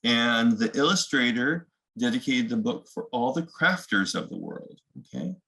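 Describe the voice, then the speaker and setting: A man reads a children's picture book aloud in a creaky, gravelly voice of medium pitch. He narrates the story and speaks to young viewers in a conversational, explanatory way. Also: lively intonation, normal speed, bright, forceful tone, rough recording. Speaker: read-aloud host.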